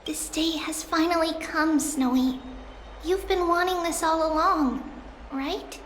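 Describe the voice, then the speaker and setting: A woman voicing a tiny, cheerful, affectionate little girl with a childlike, squeaky voice in a video game. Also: slow pace, a bit bright, full character voice. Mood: fond, happy. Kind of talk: animated video game voice acting. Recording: echoey with faint background noise.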